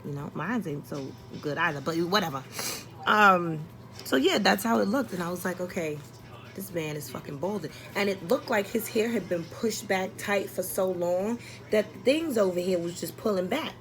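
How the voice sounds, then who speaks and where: A woman with a fairly low voice tells a personal story in a casual vlog style. Her delivery is expressive and comedic, with plenty of exasperation and swearing. There is some noise behind her voice. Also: moderate pace, poor audio. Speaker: vlogger telling a story.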